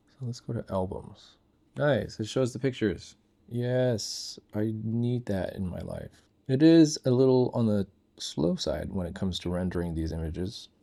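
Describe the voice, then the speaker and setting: A man's voice: fairly low pitch, creaky, warm tone. A man giving casual, unscripted product commentary, thinking aloud in short, informal remarks as a reviewer.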